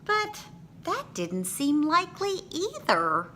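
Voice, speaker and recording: A woman reading a picture book aloud to children with dramatic, expressive phrasing. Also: high voice, takes it slowly, slight background noise.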